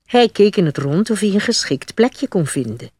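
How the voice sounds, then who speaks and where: A woman's voice: medium pitch, sing-song, moderate pace, darkish tone, breathy edge, no room sound. A woman narrating a fairy tale from a script as a storyteller.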